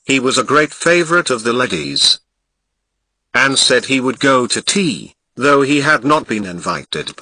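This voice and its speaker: A Ghanaian man narrates an old nursery tale with an expressive touch, leaning into the descriptive words. His tone is strikingly bright and sharp, and his pitch swings lively up and down. The recording is dry and close. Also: measured pace, dry recording, low-quality recording. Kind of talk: storybook reading.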